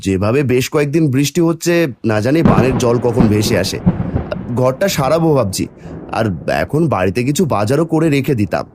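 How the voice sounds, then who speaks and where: A man's voice: medium pitch, animated, brisk, darkish tone, a little hiss or hum, close to an everyday voice. A man voicing a poor village woodcutter who speaks humbly and anxiously.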